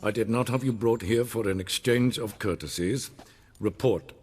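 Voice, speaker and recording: A cold, imperious emperor in a fantasy video game. He speaks low and measured, haughty and impatient, his commands carrying through a long hall reverb. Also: darkish tone, breathy edge. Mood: cold menace.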